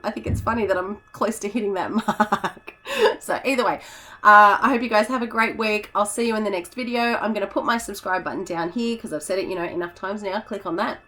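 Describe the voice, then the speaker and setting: A hoarse woman, a teacher chatting casually in a vlog, rambling with fillers and self-corrections. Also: medium pitch, sharp tone. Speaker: teacher and YouTuber.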